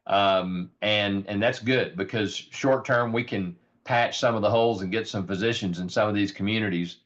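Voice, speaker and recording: A man with a raspy, hoarse voice giving an earnest informal presentation on a rural health program, with many ums and repeated words. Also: lowish voice, moderate pace, fairly bright tone. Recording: dull lo-fi audio.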